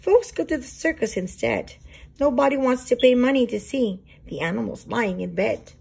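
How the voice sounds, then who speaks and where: A woman reading a children's storybook aloud as a teacher would, expressive and explanatory. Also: fairly high pitch, moderate pace, vocal fry, husky.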